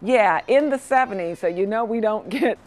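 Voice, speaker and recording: A woman's voice: medium pitch, normal speed, darkish tone, rough recording. A woman presenting weather conversationally on a morning television news show.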